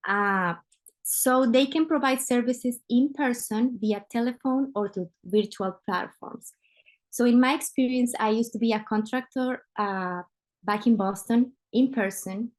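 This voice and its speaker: A woman's voice: on the high side, unhurried, low-quality recording. Guatemalan woman presenting on a webinar. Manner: frequent "uh" fillers, conversational. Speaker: guest speaker, medical interpreter. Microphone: computer mic on a video call.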